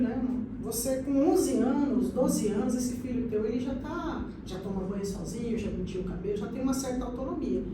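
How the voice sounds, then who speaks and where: Woman, late 30s, earnest podcast guest, distant mic, room echo and noise. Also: a little high. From São Paulo state, Brazil. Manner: earnest, explanatory, many "então, assim" fillers. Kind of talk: personal testimony in casual chat.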